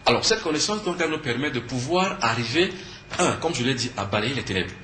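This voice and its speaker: A man with a Cameroonian French accent speaks in a sharp, bright voice at a middle pitch. He explains emphatically, posing questions and answering them like a lecturer. The sound is echoey, with faint noise behind him. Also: animated, fast talker. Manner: didactic, emphatic, asks and answers own questions. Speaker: spiritual teacher and conference speaker, interview guest. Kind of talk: earnest explanatory talk in a TV interview.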